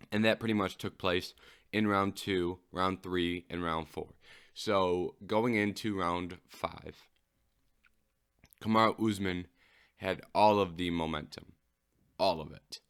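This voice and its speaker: A man's voice: sits low, a bit bright, clean tone. A man hosting his own podcast, talking through a fight recap alone in a casual, unscripted way like a keen fan. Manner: keen fan, lingering on a knockout moment by moment. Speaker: podcast host. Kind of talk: solo sports recap podcast.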